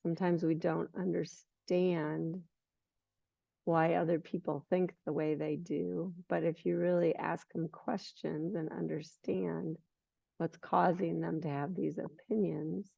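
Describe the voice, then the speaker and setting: A hoarse woman teaching yoga at a slow, easy pace, her low voice gravelly and dark. The sound is dry and muffled, like a lo-fi recording made in a studio. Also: no room sound. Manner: relaxed, unhurried instruction with fillers. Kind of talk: guiding a yoga class.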